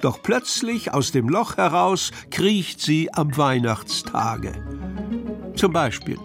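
Slow, measured man reciting verse. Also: on the high side, big pitch swings, vocal fry, faint music behind. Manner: measured, deliberate storytelling. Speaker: poetry reciter. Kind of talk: expressive poetry recitation for children's radio.

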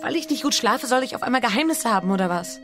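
An actress playing an anxious, guilt-ridden wife in a radio play, speaking introspectively in an intimate, often hushed way. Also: female voice, fairly high pitch, expressive, quick pace, music in the background, lightly acted. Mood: defensive. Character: anxious, guilt-ridden wife confessing her inner torment.

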